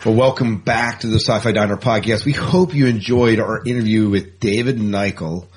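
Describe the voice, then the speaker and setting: A man's voice: fairly low pitch, moderate pace, slight background noise. A man hosting a podcast in a casual, informal, easygoing chat style. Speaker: podcast host.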